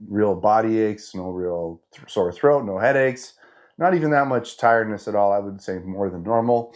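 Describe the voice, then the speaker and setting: A man's voice: on the low side, darkish tone. Stuffy-nosed man in his fifties. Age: 50s. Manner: chatty and rambling, with frequent restarts and "you know". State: congested, stuffed-up nasal voice from a cold. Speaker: fitness vlogger. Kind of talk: casual update vlog to camera.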